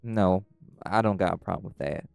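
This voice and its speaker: Man playing deep, folksy were-dog alpha. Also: normal speed, creaky, clean tone, no room sound, faint music behind. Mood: steady, earnest. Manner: gentle, reassuring, folksy. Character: calm, caring male were-dog pack alpha, folksy protective friend. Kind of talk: audio roleplay acting, intimate comforting talk.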